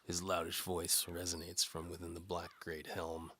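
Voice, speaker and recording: A man narrating an audio drama in the first person as a world-weary mercenary detective, with a wry, deadpan, gravelly delivery. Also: deep voice, moderate pace, a little hiss or hum. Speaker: narrator and lead actor. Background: music.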